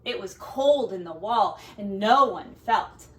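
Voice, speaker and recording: A woman teacher reading a storybook aloud to children with expressive, deliberate phrasing and casual asides. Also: mid-range voice, recorded from a distance.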